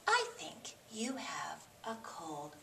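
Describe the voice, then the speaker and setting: A woman voicing a calm, matter-of-fact cat doctor from a storybook, measured and reassuring. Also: fairly high pitch, creaky, full character voice. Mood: calm, diagnosing. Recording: echoey, distant, faint background noise.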